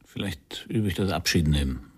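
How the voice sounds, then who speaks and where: An actor playing a brooding, weary investigator who narrates a radio crime drama in a terse, measured, pensive way. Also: male voice, low-pitched, breathy edge, no room sound. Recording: music behind the voice.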